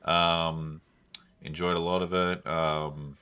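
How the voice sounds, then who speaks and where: A man's voice: low-pitched, little pitch movement, takes it slowly, bright, forceful tone, clean tone. A man with an Australian accent co-hosting a podcast in a casual, rambling way, often saying "like". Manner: rambling, hedging, heavy use of "like". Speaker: podcast co-host. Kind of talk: casual pop-culture podcast chat.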